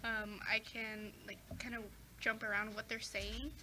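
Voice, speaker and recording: A woman's voice: a little high, little pitch movement, unhurried, background noise. A teenage girl talking casually as a guest, full of "like" and "um" and restarting her sentences. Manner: chatty, many fillers and restarts. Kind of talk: casual chat.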